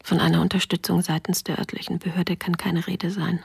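An actress whispering the diary of an exhausted woman refugee for a radio drama, raspy and tired. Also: fairly low pitch, little pitch movement, fairly quick, dry recording, heavily acted. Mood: weary.

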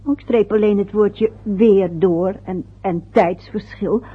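Actress doing a nervy chatty mom, husky breathy voice, old muffled radio play. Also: female voice, medium pitch, measured pace. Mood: fretful. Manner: fretful, eager to please, chatty. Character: anxious fussy middle-aged mother. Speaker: mother.

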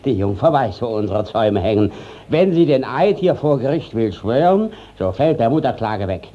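An actor playing a shifty old village judge, hesitant and servile, in a classical German radio drama. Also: male voice, mid-range voice, sing-song, moderate pace. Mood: self-important. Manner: hesitant, stammering, obsequious. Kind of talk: classical German stage-style radio acting. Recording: dull 1950s radio drama recording.

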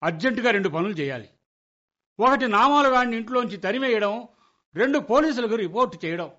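Sharp, excitable blustering father. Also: high voice, fairly quick, exaggerated voice. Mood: angry, stubborn. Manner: exasperated, stubbornly emphatic. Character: blustering, hot-tempered middle-aged father of the household. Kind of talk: Telugu radio comedy play acting. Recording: dull lo-fi radio recording.

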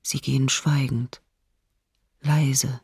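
An actress playing a grave, intense eyewitness giving testimony in a documentary radio drama. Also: female voice, extremely low pitch, flat delivery, slow, darkish tone, husky, no room sound, studio quality, exaggerated voice. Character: eyewitness recalling endless crowds, grave, intense.

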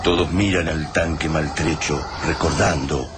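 A man's voice: rumbling low, steady tone, measured pace. A man with an Argentine accent performing as the grave, poetic narrator of a radio drama about war. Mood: solemn. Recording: noisy ambience behind the voice.

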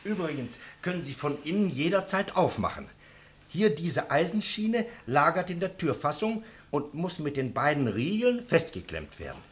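An obliging innkeeper in a radio drama, his voice fairly high and very animated. He is courteous and emphatic, over faint background noise. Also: normal speed. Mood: businesslike. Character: obliging, reassuring male innkeeper locking up for night. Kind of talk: German radio play dialogue.

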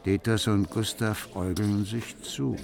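An actor narrating a literary radio play as a storyteller. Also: male voice, on the low side, steady tone, measured pace, vocal fry, a little mellow.

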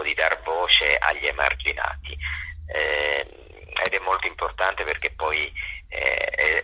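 Very deep, husky man on phone. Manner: halting, searching for words, repeating phrases. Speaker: interview guest, playwright and actor. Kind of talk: phone interview, explaining his work. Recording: muffled telephone line.

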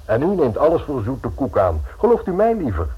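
An actor playing a haughty, condescending society lawyer in a radio play, formal and scornful in manner. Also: male voice, medium pitch, huge pitch swings, fairly quick, husky, full character voice. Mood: dismissive. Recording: muffled old radio recording.

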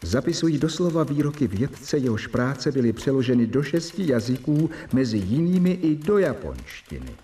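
A man narrating a radio drama in a measured way, reading out records. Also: mid-range voice, sing-song, mellow, distant mic. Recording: voice set back from the mic.

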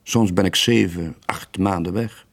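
An actor playing a weary, lonely sailor in his late forties, gentle but evasive, with a husky voice and a subdued, hesitant manner in a radio drama. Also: low voice, a little slow. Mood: guarded. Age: late 40s.

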